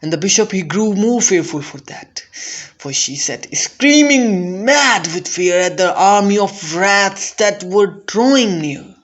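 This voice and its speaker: A man giving a dramatic, deliberate recital of a narrative poem, with theatrical intensity. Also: sits high, expressive, fairly bright tone. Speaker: poetry reader.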